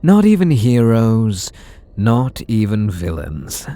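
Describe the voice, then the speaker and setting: Man voicing a supervillain, sarcastic, mocking, low-pitched, slow drawl, close-mic audio drama. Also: big pitch swings, dark tone, faint music behind. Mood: sneering. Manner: sardonic and mocking. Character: sarcastic, bitter adult male supervillain. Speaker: voice actor. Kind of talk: ASMR roleplay audio drama.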